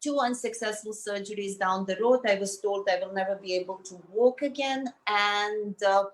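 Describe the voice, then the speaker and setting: Guest, female, 40s, English, reflective and measured, average pitch. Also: poor audio. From Bosnia. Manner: earnest, measured, many fillers. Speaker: guest, fitness teacher. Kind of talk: reflective personal storytelling.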